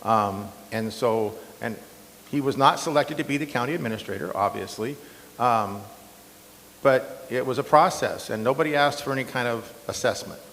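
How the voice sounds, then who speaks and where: Slightly hoarse man, defensive, in a hall. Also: medium pitch, normal speed. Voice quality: loud strong voice. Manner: defensive, conversational with fillers. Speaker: incumbent county supervisor. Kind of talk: candidate debate answer. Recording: long hall reverb.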